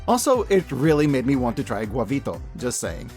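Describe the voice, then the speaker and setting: A man's voice: mid-range voice, normal speed. A man hosting a scripted video-essay review, speaking emphatically with playful exaggeration and joking asides. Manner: animated, emphatic, hyperbolic, jokey asides. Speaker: host reviewing animated shows and media. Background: background music.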